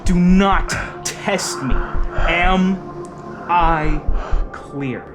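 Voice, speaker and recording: A man's voice: high voice, very slow. A man playing a stern, menacing officer running an interrogation in a military sci-fi audio drama, clipped and commanding. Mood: cold menace. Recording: music behind the voice.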